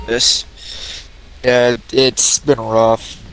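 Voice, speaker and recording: A man playing a shaken apocalypse survivor who speaks haltingly and stumbles over words in a game roleplay. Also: medium pitch, little pitch movement, a bit bright, smooth, rough recording. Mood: shaken, weary. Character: shaken male survivor recounting military killings. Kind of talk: improvised game roleplay drama.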